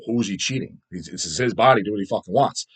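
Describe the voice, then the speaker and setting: A man's voice: lowish voice, lively intonation, quick pace, slightly rough. A man hosting a casual video show, rambling through his opinions in a blunt, profane way and repeating words for emphasis. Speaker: host of a video show about underground death metal. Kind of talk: casual rambling opinion vlog, answering viewer comments.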